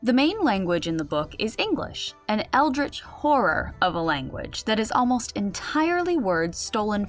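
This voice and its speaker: A woman's voice: medium pitch, a bit bright. A woman playing a wry game master who explains and narrates a comedic tabletop game in an audio drama. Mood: wry, explanatory.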